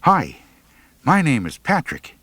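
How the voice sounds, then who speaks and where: A man acts out an awkward teenage boy in a comic audiobook. The voice sits high and jumps around. He stammers and halts, nervously putting on bravado. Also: wildly swooping pitch, a bit bright, no room sound, heavily acted. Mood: nervous, hopeful. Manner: halting, stammering.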